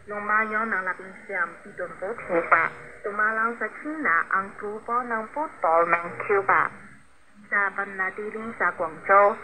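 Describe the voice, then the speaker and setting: A woman presenting a radio program in scripted storytelling narration. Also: mid-range voice. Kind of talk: scripted narration of a sports biography. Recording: noisy shortwave radio broadcast.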